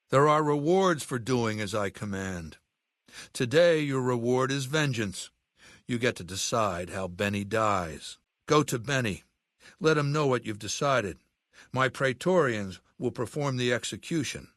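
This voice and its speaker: A man playing an aging, imperious warlord dictator in a video game, with a measured, commanding and menacing manner. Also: medium pitch, fairly bright tone, no echo, studio quality, natural voice. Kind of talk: video game character dialogue.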